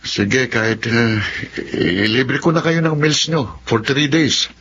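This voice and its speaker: A man's voice: mid-range voice, slow, fairly bright tone, lo-fi sound, a little hiss or hum. An actor playing an evasive, boastful young man who stammers in a radio drama. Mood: defensive.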